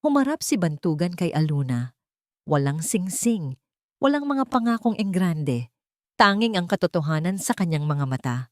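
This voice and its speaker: Low-pitched woman narrating, close dry sound. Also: sing-song, moderate pace, darkish tone, dry recording. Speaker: audio drama narrator. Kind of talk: scripted dramatic story narration.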